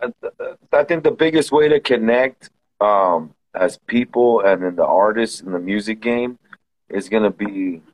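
A man from Southern California hosts a casual podcast chat. His voice sits on the lower side. He speaks in an easygoing way, repeating phrases and filling with "you know". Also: measured pace, low-quality recording. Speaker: podcast host.

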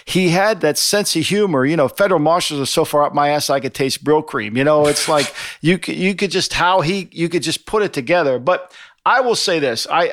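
Middle-aged guy riffing on a podcast, a bit high voiced, dry close mic, rambly and animated. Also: dry recording. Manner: rambling, animated, quoting lines with laughs. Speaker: podcast co-host. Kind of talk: casual chat.

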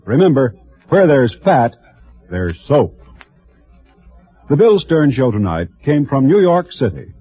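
A man's voice: medium pitch, big pitch swings, unhurried, pauses often, slightly rough, no echo. An American man announcing commercials in 1940s radio style, with a folksy, punchy sales delivery. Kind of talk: 1940s radio advertising pitch. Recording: tinny vintage radio, music behind.

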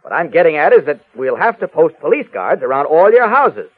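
Earnest, persuasive reporter, 1940s radio drama. Animated, slightly high, emphatic; thin vintage recording. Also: male voice, a little fast, slightly rough, narrow, tinny audio. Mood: exasperated, persuasive. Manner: reasoning, emphatic. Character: earnest, level-headed, persuasive newspaper reporter, adult man. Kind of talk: 1940s radio serial acting.

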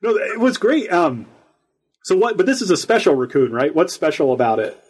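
A man hosting an interview, asking casual questions and giving quick affirmations. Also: on the high side, big pitch swings, slightly rough. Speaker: interview host. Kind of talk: conversational interview.

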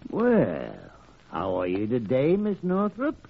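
An actor playing a gruff, stubborn old senior doctor in a 1940s radio drama, blunt and grumbling, with a raspy voice. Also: male voice, mid-range voice, wildly swooping pitch, slow pace, slight background noise, heavily acted. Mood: brisk, bluffing. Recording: tinny, narrow old radio recording.

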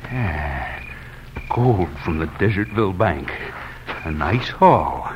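An actor playing a greedy, spiteful outlaw who mutters in folksy western talk in an old-time radio drama. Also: male voice, sits low, lively intonation, takes it slowly, muffled recording. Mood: scheming, resentful. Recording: very noisy vintage radio recording.

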